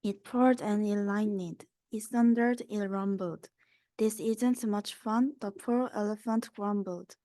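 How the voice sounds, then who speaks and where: A woman's voice: medium pitch, fairly even intonation, slow pace, dark tone, no room sound. A young woman reading a children's rhyming story aloud. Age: young adult. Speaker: student reader.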